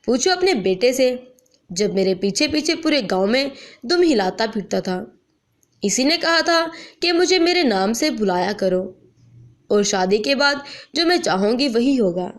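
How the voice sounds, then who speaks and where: Woman voicing a defiant young village bride, high-pitched. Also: animated, measured pace. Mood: angry retort. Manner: blunt, outspoken.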